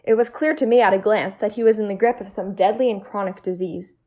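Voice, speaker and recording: A woman's voice: medium pitch, fast, mellow, natural voice. A woman playing a loyal, inquisitive detective's companion and storyteller in an amateur audio drama, earnest and literary. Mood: solemn narrating. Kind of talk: amateur audio drama acting and scripted narration.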